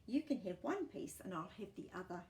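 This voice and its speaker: A woman voicing a cheerful, curious little male pig in a children's story, excitable and childlike. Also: fairly low pitch, moderate pace, reverberant. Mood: agreeable. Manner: excitable, wondering, exclaiming.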